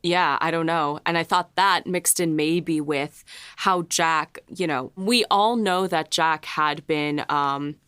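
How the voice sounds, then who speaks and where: A woman's voice: deep voice, takes it slowly, a bit bright, clear, resonant tone, no echo. A woman co-hosting a casual discussion, speaking in a thoughtful, tentative way. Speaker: writer and podcast co-host.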